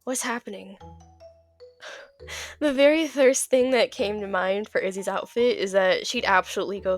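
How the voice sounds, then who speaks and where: A young woman narrating a casual art vlog, laughing often and tripping over her words while her voice is still off from being sick. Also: fairly low pitch. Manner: giggly, stumbles and repeats words. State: recovering from illness, voice a bit off. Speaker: video creator.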